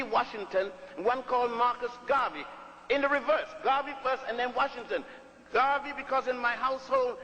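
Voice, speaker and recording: A man's voice: extremely high pitch, sharp tone. An older man from Puerto Rico giving an emphatic, storytelling public lecture in a hall, repeating words for stress. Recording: echoey hall.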